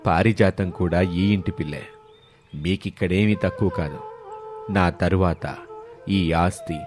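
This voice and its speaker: A man voicing a kindly older landlord in an animated moral story, fatherly, affectionate and authoritative. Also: low-pitched.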